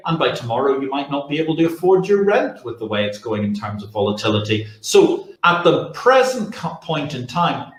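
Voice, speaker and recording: Emphatic male expert in an echoey room. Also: medium pitch. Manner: emphatic, rhetorical, conversational. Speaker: expert guest. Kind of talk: explanatory interview answers. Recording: roomy, echoey sound.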